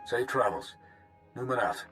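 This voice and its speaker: An actor playing a confident fleet admiral in a video game, giving a clipped, formal briefing. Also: male voice, medium pitch, measured pace, fairly bright tone, soft background music, lightly acted.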